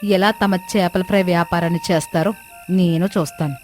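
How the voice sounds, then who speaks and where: A woman's voice: fairly low pitch, fairly even intonation, normal speed, darkish tone, faint music behind, natural voice. A woman playing an envious, scheming, pushy village mother-in-law with an insistent, grumbling way of talking in a cartoon moral story.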